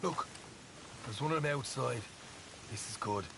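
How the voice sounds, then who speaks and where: An actor playing a brash, boastful young outlaw in a video game, chatty and defensive. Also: male voice, medium pitch, measured pace, creaky, background noise. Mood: urgent, conspiring. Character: cocky young outlaw man, boastful and eager to prove himself. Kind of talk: video game dialogue acting.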